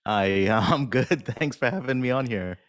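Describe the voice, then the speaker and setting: A man's voice: medium pitch, unhurried, fairly bright tone. A man answering as an interview guest in a casual, unassuming, self-deprecating way, with hesitant phrasing.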